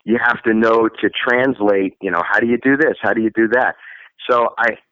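A man's voice: on the low side, fairly even intonation, moderate pace, no echo, muffled recording. A man from Florida, a singer, answering interview questions in a loose, chatty way and laughing often as he talks. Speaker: guest singer.

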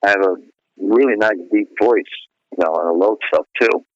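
A middle-aged man talks casually about his singing, rambling and circling back with "you know" after nearly every phrase. His voice sits fairly low. The sound is dry and a little dull, like a lo-fi radio recording. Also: normal speed, no echo. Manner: rambling, full of "you know" fillers and restarts. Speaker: singer being interviewed. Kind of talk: casual interview chat.

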